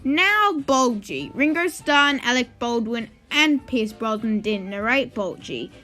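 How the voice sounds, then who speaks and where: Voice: medium pitch, lively intonation, measured pace, pushed and bright, faint music behind. A video host giving informal opinion commentary in a ranking video, with conversational asides.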